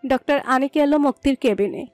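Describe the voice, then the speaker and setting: High-pitched woman narrating romance. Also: a little fast, no room sound. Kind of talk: scripted romantic story narration. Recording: dry voice over soft music.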